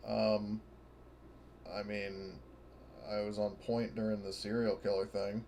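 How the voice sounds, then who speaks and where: Low-voiced casual man at a game session. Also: flat delivery, slow pace, darkish tone, slight background noise. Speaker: tabletop game player. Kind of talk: casual chat during a roleplaying game.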